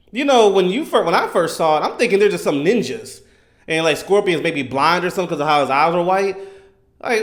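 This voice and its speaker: Man, thirties, slightly high voice, casual fan commentary, animated, emphatic, slangy. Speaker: gaming content creator.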